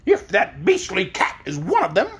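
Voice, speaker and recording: A reader voicing a pampered female hen with a sharp, clear voice and a scornful, drawn-out manner. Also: high-pitched, huge pitch swings, voice pushed up, full character voice.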